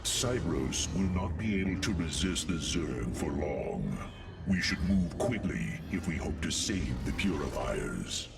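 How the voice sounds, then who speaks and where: A proud alien machine warrior from a video game, with a very deep voice that rises and falls dramatically. He speaks in grave, formal phrases and sounds set back from the listener, with music playing. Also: very animated, heavily acted. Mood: grave, earnest. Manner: grave, formal, deliberate speech. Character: proud, solemn male alien robot warrior. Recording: distant voice under clear music.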